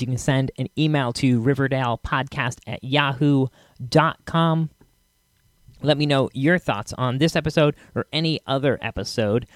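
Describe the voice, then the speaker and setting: An American man hosts a podcast in casual, halting chat, often breaking off his own sentences. His mid-pitched voice is recorded close and dry, with faint music behind. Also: no room sound. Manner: halting, self-interrupting, informal. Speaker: podcast host. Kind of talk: casual solo chat to listeners.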